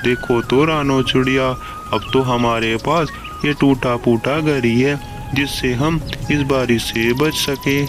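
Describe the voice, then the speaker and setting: Man as kindly fable animal, instructive, cartoon dialogue, slow pace, loud music behind. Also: medium pitch, fairly even intonation, natural voice. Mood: concerned, eager. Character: kindly, helpful male animal in a children's fable. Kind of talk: Hindi children's cartoon storybook dialogue.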